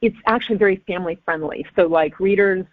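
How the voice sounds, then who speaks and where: A woman's voice: medium pitch, quick pace, no room sound. Female author being interviewed, casual and conversational, mid-range voice through a phone-quality connection. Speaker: author guest. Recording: thin phone-like line.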